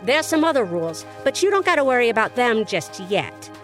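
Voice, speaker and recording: A woman's voice: a little high, big pitch swings, fairly quick, faint music behind. A woman playing a streetwise, protective female vampire in an audio drama, talking informally, frankly and reassuringly.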